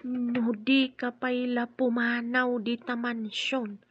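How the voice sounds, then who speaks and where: A woman's voice: a little high, little pitch movement, slow, breathy edge, dull audio. An actress playing a concerned, insistent woman of the household in a radio drama, urgent in manner. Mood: worried.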